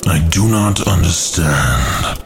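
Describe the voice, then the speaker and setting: A man's voice: low-pitched, lively intonation, slow. A man playing a scheming rebel angel in an audio drama, grave and persuasive, with solemn, formal diction. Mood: puzzled.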